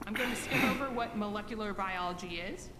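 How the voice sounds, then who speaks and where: A woman's voice: medium pitch, a little fast, close to an everyday voice. A woman playing a businesslike college professor, lecturing a class in an echoey, noisy room. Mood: brisk, instructive. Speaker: teacher. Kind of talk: classroom lecture, game cutscene acting.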